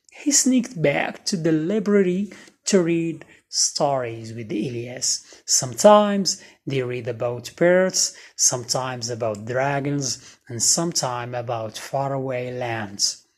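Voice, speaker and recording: A storyteller reading a scripted bedtime story for children. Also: mid-range voice.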